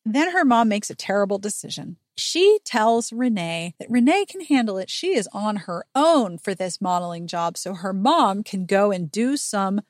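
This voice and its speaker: Woman, American accent, mid-pitched voice, podcast host, casual recap chat, emphatic, indignant. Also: big pitch swings, normal speed, few pauses, no room sound, studio quality. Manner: emphatic, indignant, swears freely.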